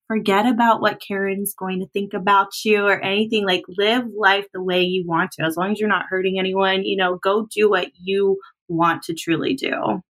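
A woman's voice: medium pitch, a bit bright. A woman in her thirties hosting a casual chat, talking loosely with plenty of filler words. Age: 30s. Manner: chatty, lots of "like" and "you know".

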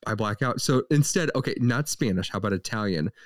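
A man's voice: lowish voice, lively intonation, brisk, dry recording, studio quality. A man co-hosting a movie podcast, joking around in casual chat. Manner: playful, jokey. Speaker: podcast co-host.

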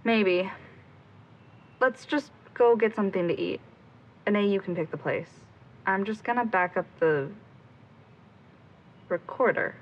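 Actress as a blunt, husky young female investigator. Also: mid-range voice, a little slow, long pauses, lo-fi sound, lightly acted. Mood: deflated. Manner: blunt, emphatic. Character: determined, outspoken young female amateur investigator. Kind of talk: audio drama acting.

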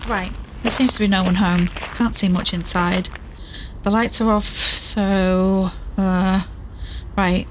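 A plucky, curious female investigator played by a woman narrates her search in an audio drama. She talks chattily, with wry asides, at a middling pitch. Faint noisy ambience sits behind her. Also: steady tone, slow, lightly acted.

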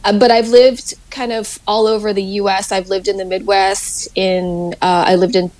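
A woman in her forties, a Mandarin native speaker from the US, answering interview questions in a relaxed, hesitant way. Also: mid-range voice, moderate pace, dry recording, rough recording. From United States. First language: Mandarin Chinese. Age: 40s. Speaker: guest.

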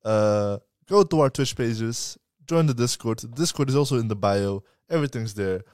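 A young man co-hosting a live podcast stream in casual, relaxed chat, promoting the show's channels. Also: medium pitch, normal speed, dry recording, studio quality. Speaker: podcast co-host.

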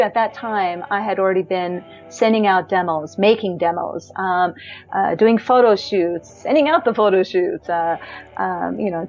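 A woman with a high voice telling her life story in an animated way, acting out quotes and using many fillers. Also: muffled recording. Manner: animated, acts out quotes with pitch jumps, frequent fillers. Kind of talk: personal storytelling.

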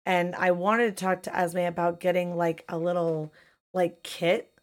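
A woman in her thirties hosting a live-streamed chat show, hoarse from losing her voice, talking casually and swearing freely. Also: fairly low pitch, a little slow. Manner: profane, chatty, animated. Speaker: podcast host.

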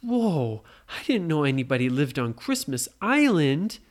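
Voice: medium pitch, very animated, a little slow. A storyteller voicing a curious, brave young girl in a bedtime story, eager and full of wonder.

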